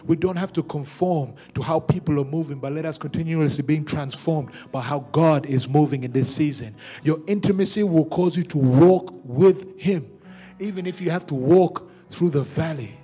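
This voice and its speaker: A man's voice: fairly high pitch, dark tone, breathy edge. A young man preaching a sermon on a church stage into a handheld microphone, punctuating his points with repeated amens.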